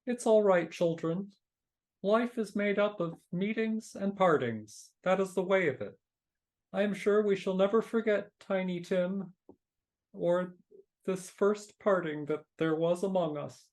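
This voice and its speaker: A woman's voice: mid-range voice, measured pace, a little mellow, close to an everyday voice. A woman voicing a kindly, grieving frog father who consoles his family in a live dramatic reading. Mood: gentle sorrow.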